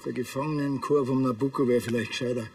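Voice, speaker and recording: A man's voice: mid-range voice, fairly even intonation, fast, recorded from a distance, background noise, natural voice. An actor with a Bavarian accent playing a blunt, sardonic village policeman in a TV crime comedy. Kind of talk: naturalistic TV crime comedy acting.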